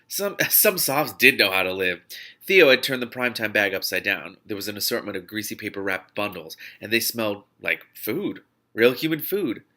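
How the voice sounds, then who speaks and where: A man reads fiction aloud in a slightly low voice with a bright, forceful edge. His delivery is expressive and informal, like a host sharing a book and reacting as he goes. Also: moderate pace. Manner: animated, informal, breaks off to comment. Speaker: reader and host of a read-along series. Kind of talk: expressive read-aloud of a novel with off-the-cuff reactions.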